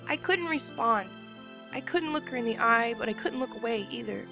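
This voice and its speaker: A woman's voice: a little high, expressive, moderate pace, gravelly. Earnest woman reading a story over music. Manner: emotional, earnest narration. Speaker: reader. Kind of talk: first-person dramatic story reading.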